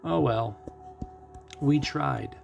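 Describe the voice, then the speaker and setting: A man with a gravelly, creaky voice at a middling pitch talks through a game for a stream. He muses aloud in a casual, conversational way, working through his options as he goes. Music plays behind him. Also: drawn-out words. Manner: musing, thinking out loud. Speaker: gaming streamer. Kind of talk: casual live game commentary.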